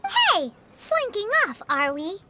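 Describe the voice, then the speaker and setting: A woman's voice: very high-pitched, huge pitch swings, takes it slowly, very noisy, full character voice. A woman voicing a cheeky little female fairy companion in a video game, with a blunt, childlike, teasing manner. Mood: suspicious. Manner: blunt, childlike, teasing, refers to herself by name. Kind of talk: video game character voice acting.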